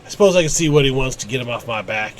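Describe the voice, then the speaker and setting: A man's voice: medium pitch, lively intonation, rapid-fire, slight background noise, close to an everyday voice. A man voicing a surly, insolent rogue in a fantasy game, scornful and dismissive.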